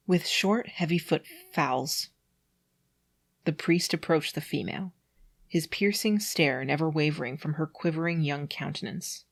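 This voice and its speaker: A mid-pitched reader, sex unclear, reading prose aloud and adding incredulous, nitpicking, giggly asides. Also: gravelly. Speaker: amateur reader doing a read-aloud with commentary.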